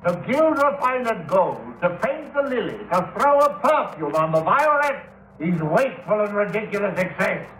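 An actor playing a vain, tyrannical Shakespearean thespian in a 1950s radio drama, declaiming grandly. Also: male voice, sits high, big pitch swings, moderate pace, fairly bright tone. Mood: scornful fury. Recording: roomy 1950s radio recording.

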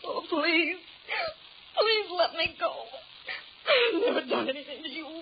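A woman's voice: animated, measured pace, full character voice. An actress playing a terrified young kidnapped woman, tearful and pleading, in 1930s radio horror style. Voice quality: shrill, tearful. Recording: scratchy, muffled 1930s radio recording.

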